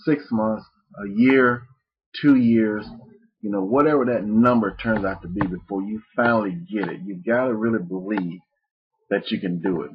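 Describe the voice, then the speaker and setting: Man, webinar presenter; low, husky, dull lo-fi sound, folksy and rambling. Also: moderate pace. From Mississippi. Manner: rambling, many fillers and restarts, folksy. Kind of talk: live webinar teaching. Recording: muffled lo-fi webinar audio.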